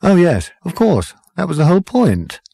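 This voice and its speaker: An enigmatic, superior schemer from a sci-fi tale, acted by a man. He speaks with smug, knowing assurance, in a lively pitch and a dry, close sound. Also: normal speed, gravelly, breathy edge, dry recording, exaggerated voice.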